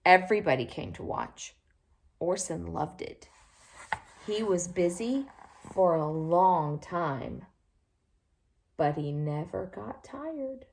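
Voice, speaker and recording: A woman reading a children's picture book aloud in an expressive, animated way, with little asides to her listeners. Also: lowish voice, slow, creaky, pauses often, a little hiss or hum. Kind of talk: storybook read-aloud to young children.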